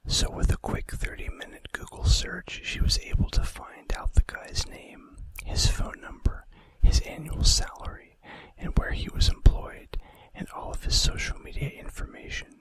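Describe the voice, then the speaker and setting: Man whispering softly for ASMR. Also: poor audio. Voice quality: soft, breathy, unvoiced whisper. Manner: unhurried, casual asides. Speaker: ASMR video host. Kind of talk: ASMR, reading fun facts.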